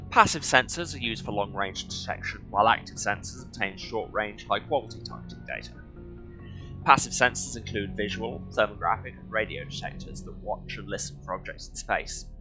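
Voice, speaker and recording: A man's voice: lowish voice, pushed and bright, poor audio. A man reading game lore aloud as a streamer, breaking off for wry, offhand commentary. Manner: wry, sardonic asides. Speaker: game streamer, video host.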